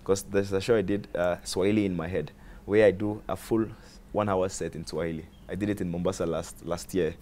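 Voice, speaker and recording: A young man with a Nigerian accent, a comedian chatting as a guest in an interview, animated and often repeating words as he searches for them. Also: sits low, fairly quick. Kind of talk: casual interview chat.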